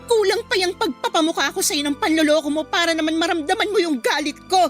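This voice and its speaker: A woman's voice: extremely high pitch, quick pace, a bit bright, faint music behind. A woman acting a hurt, furious young woman in a Filipino radio drama, with an emphatic, accusing delivery. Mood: angry, accusing. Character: hurt, furious young woman confronting her cheating boyfriend.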